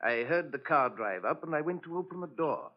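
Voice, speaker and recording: An actor playing a polite, hesitant and servile butler in a 1940s radio drama. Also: male voice, on the high side, animated, brisk, lightly acted. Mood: earnest, deferential. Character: deferential, hesitant household butler. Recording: muffled old radio broadcast.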